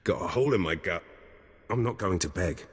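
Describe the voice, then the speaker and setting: Very deep, husky military captain. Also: male voice, lively intonation, a little fast. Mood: resigned, pained. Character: gruff male army captain turned spy handler, ruthless but honourable. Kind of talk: video game voice acting. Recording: long hall-like reverb.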